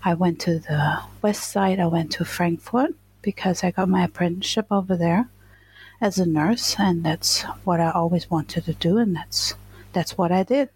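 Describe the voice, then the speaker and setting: A woman's voice: on the low side, normal speed, a little mellow, breathy edge, low-quality recording. A middle-aged woman from eastern Germany with a German accent, telling her own story casually as an interview guest.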